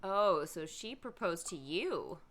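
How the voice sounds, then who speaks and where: Female game streamer: casual reactions, bright tone, lively intonation. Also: on the low side, slow. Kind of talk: casual live reaction commentary. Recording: faint game music behind.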